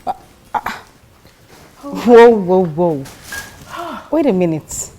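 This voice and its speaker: An actress playing an incredulous young sister in a conversational film scene. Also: female voice, fairly low pitch, animated, takes it slowly, warm tone, a little hiss or hum. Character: incredulous, nagging young woman confronting her sister.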